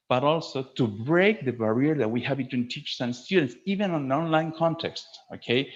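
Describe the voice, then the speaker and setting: A man presenting a webinar to fellow teachers in a didactic way, stressing key words and often checking in with a short questioning tag. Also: mid-range voice. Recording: dull lo-fi online webinar audio.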